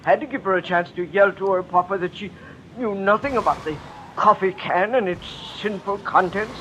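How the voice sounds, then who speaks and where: An actor playing a bitter, anguished middle-aged father in an old-time radio drama, with theatrical, halting delivery. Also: high voice, a bit bright. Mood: hurt, indignant. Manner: theatrical, halting, repeating phrases for emphasis. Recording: muffled old radio recording, faint noise.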